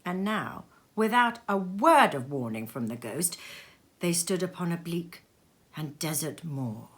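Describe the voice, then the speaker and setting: A woman reading literary fiction aloud as a storyteller, with an expressive, theatrical delivery that moves between hushed and emphatic. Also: lowish voice. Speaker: storybook reader. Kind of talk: dramatic literary narration, scripted. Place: sitting room at home.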